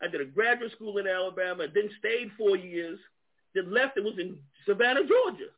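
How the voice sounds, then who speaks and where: A man's voice: high voice, animated, normal speed. A man with a New York accent, a guest in a panel discussion, who stumbles and repeats words as he searches for them and chuckles as he talks. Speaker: guest speaker. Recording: tinny phone-like online call audio.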